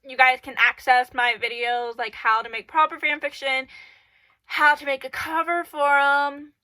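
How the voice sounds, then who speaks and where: A woman's voice: sits high, flat delivery, a little slow, pushed and bright, slight background noise. A woman hosting her own channel, giving casual advice in a rambling way full of fillers, her voice stuffy and congested from a cold, recorded on a camera in her bedroom. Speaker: channel host. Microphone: camera in her room.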